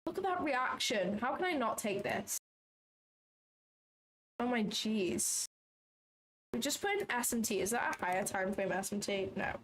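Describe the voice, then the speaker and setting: A woman's voice: fairly high pitch, animated, unhurried, long pauses, slight background noise, poor audio. A woman hosting a trading livestream from her office, chatting casually, thinking aloud, second-guessing herself and swearing now and then. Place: small office.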